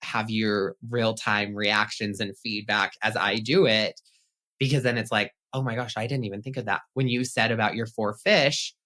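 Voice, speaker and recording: Bright-voiced chatty man, lowish pitch. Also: steady tone, normal speed. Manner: chatty and upbeat, casual swearing. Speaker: pet psychic guest. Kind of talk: casual podcast interview.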